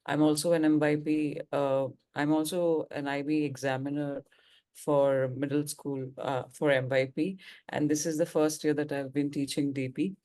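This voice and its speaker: A woman's voice: deep voice, flat delivery, moderate pace, dark tone. A woman teacher and examiner introducing herself carefully, correcting herself as she goes.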